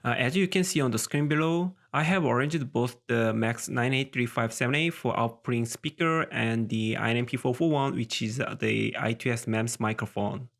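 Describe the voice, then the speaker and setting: Man, fry-edged medium-pitched voice, instructional narration, hesitant filler-heavy delivery. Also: normal speed, hardly any pauses, fairly bright tone. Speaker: host of an electronics tutorial channel. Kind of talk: scripted tutorial narration.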